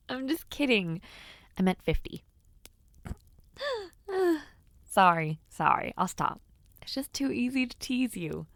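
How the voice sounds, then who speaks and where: A woman's voice: a little high, sing-song, slow pace, long pauses, no room sound, full character voice. A woman voicing a young noblewoman in an audio roleplay, playful and teasing, sometimes flustered while giving compliments. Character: teasing young noblewoman before her debut. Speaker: voice actor.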